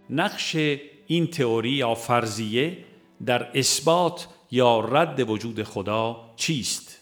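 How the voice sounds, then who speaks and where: A man narrating a religious audiobook in a measured, formal way, phrase by phrase. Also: mid-range voice. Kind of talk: scripted audiobook reading of a religious book. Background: music.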